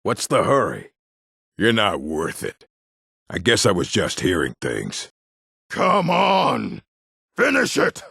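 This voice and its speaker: An actor playing a gruff, aggressive orc warrior in a video game, with a rough, gravelly voice and a blunt, menacing manner. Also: male voice, fairly low pitch, wildly swooping pitch, slow pace, long pauses, pushed and bright, no room sound, studio quality, exaggerated voice. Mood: gruff, wary. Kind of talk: video game character barks.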